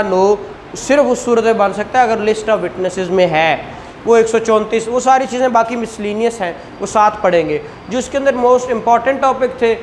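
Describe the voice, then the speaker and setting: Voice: mid-range voice, a little hiss or hum. A law lecturer with a Pakistani Punjabi accent, explaining informally to a class and often checking in with questions. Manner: conversational, explanatory, repeated check-ins and rhetorical questions.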